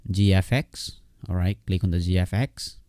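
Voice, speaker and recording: A low-pitched man with a dark, relaxed tone walks through a casual computer tutorial. He speaks off the cuff, repeating himself and pausing to check that the listener follows. The sound is dry and close. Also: dry recording. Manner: halting, repetitive, with frequent check-in words. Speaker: software tutorial host. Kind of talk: casual unscripted screen tutorial.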